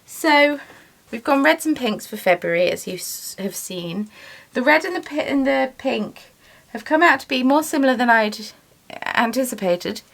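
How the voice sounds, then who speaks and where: A woman's voice: on the high side, expressive, normal speed, vocal fry, fairly bright tone. A young woman talking through her craft work in a rambling, self-deprecating, chatty way. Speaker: yarn dyer and small business owner. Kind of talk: casual chatty vlog voice-over. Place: home craft studio.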